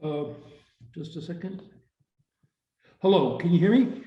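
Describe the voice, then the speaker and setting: Older man, higher-pitched, on roomy call. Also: measured pace, mellow. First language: Ukrainian. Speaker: workshop participant. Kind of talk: informal spoken comment. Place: indoor room on a video call. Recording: dull, roomy video-call audio.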